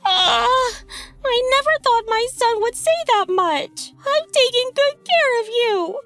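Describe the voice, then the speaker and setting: Tearful older mother for manga dub: extremely high, slow, wounded, music underneath. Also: lively intonation, fairly bright tone, exaggerated voice. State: crying.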